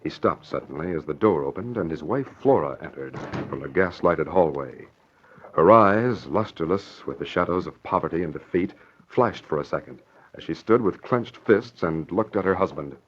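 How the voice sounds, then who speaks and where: A man's voice: sits low, lively intonation, moderate pace, slight background noise. A man announcing and narrating in a theatrical, emphatic, formal 1940s radio style. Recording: dull old radio broadcast recording.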